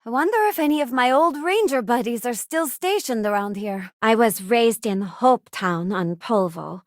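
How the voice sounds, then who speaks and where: An actress voicing a sincere, principled young female space ranger and crew member in a video game, with a measured, plain-spoken delivery. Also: fairly high pitch, animated, no echo, studio quality, lightly acted. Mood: earnest, thoughtful. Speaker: voice actress playing a game companion. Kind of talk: scripted video game voice lines.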